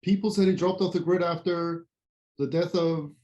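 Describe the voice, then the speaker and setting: A woman's voice: on the low side, normal speed, mellow, lo-fi sound, lightly acted. A woman playing an eager, easily impressed colleague in a table read.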